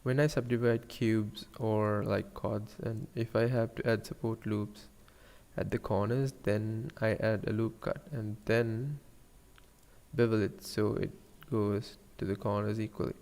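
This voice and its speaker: A man with a Sri Lankan accent giving a casual software tutorial, thinking aloud in a hesitant murmur as he works. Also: lowish voice, steady tone, measured pace, creaky, in a large hall. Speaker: 3D animation tutorial streamer.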